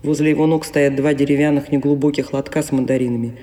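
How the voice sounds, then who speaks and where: In a long reverberant space, a man narrates an audio play. His voice is mellow and a little high, and he describes things quickly with detachment. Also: level tone. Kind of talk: scripted narration. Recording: long reverberant hall echo.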